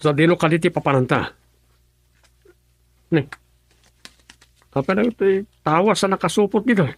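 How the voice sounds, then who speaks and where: An actor playing a poor, bitter husband who wants to get rich, speaking earnestly, in a radio drama. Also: male voice, high-pitched, expressive, normal speed. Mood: puzzled. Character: bitter grown husband, poor and wanting riches.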